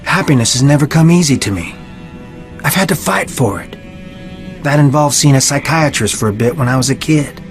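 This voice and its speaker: A man's voice: mid-range voice, lively intonation, brisk, faint music behind, natural voice. An actor voicing a reserved, earnest young man in a video game, speaking in a guarded, confiding manner.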